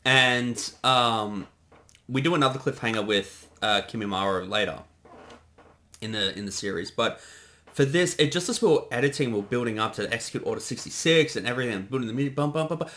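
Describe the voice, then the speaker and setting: A man's voice: medium pitch, animated, a little fast, pushed and bright. A man giving a casual creator's commentary on a production he directed, chatting conversationally.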